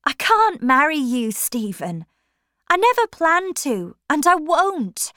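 A woman's voice: very animated, slow pace, a bit bright, dry recording, studio quality, heavily acted. A woman voicing a principled young woman in a storybook reading, speaking earnestly and pleadingly. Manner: earnest, pleading, raised pitch.

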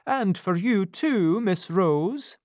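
A woman reading a storybook and voicing an excitable male doctor who fusses and exclaims. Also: mid-range voice, slow pace, no echo, studio quality, voice pushed up. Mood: fussing. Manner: exclaiming, fussing.

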